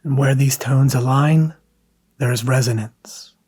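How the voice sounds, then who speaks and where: A man guiding a scripted meditation in a soft, hushed, breathy manner, leaving long pauses between phrases. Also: mid-range voice. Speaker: meditation guide.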